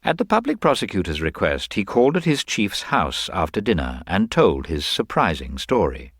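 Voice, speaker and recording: A man's voice: sits low, huge pitch swings, normal speed, no room sound, studio quality. A man narrating a detective story as a scripted reading.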